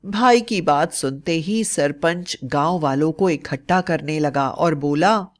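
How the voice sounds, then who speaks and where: Slow-paced woman narrating a story. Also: low voice.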